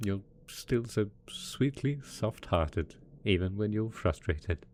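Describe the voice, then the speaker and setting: A man's voice: on the low side, animated, slow, darkish tone. A man voicing a melodramatic, possessive mad scientist supervillain boss in a roleplay audio drama, smug and coaxing in manner. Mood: amused, teasing. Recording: faint background noise.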